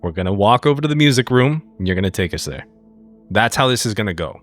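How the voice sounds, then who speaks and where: A man's voice: fairly low pitch, animated, quick pace, fairly bright tone, faint music behind. A man playing an impatient, menacing tough companion, curt and confrontational, in a tabletop horror game.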